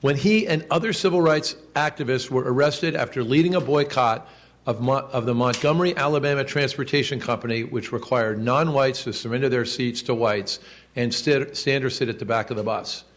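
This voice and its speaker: A man in his sixties giving a formal commemorative speech, measured, with a loud projecting voice and quotes read with emphasis. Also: mid-range voice. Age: 60s. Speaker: school official giving a speech. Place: indoor event hall.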